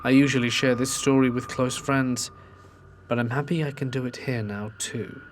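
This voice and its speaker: Male narrator for spooky true stories, mid-pitched, steady reading, faint hiss behind. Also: normal speed. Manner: steady storytelling delivery, reading submitted accounts.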